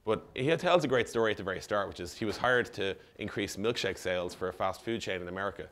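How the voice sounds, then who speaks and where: A man presents informally to an audience. His slightly worn voice sits on the low side, and he talks very quickly. He is casual, dropping in asides and acting out bits of dialogue as he goes. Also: a bit bright. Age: 30s. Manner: casual, full of asides and quoted dialogue, mild swearing. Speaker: startup founder giving a conference talk. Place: on stage in an indoor event room. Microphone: lapel mic.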